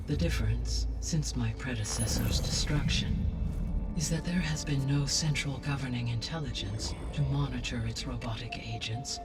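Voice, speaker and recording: An actor playing a calm, benevolent, erudite artificial intelligence in a video game, speaking with measured, precise, formal diction. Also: male voice, mid-range voice, far from the mic, natural voice. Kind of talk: scripted video game dialogue.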